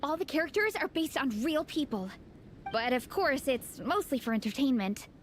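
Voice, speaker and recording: A woman's voice: high-pitched, lively intonation, moderate pace, slight background noise. A woman voicing an enthusiastic, friendly young comic-fan club captain in a video game, chatting casually. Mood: earnest, admiring.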